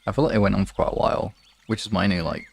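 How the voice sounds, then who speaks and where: Low, gravelly man chatting casually. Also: rapid-fire, slight background noise. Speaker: hypnosis ASMR streamer. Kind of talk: casual live-stream chat.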